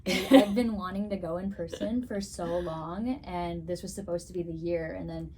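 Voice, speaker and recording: A woman's voice: lowish voice, fairly even intonation, normal speed, mellow, a little hiss or hum. A young woman, Greek her first language, answering interview questions in an upbeat, chatty way, often wrapping up with "so, yeah". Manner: upbeat, chatty, frequent "so, yeah" wrap-ups. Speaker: guest, figure skater and musician.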